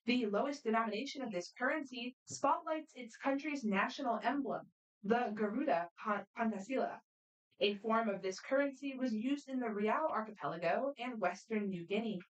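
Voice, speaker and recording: Brisk woman moderating a quiz. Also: on the high side, lively intonation. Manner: brisk, friendly, matter-of-fact. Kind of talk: reading quiz questions aloud. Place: competition room. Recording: voice picked up from a distance.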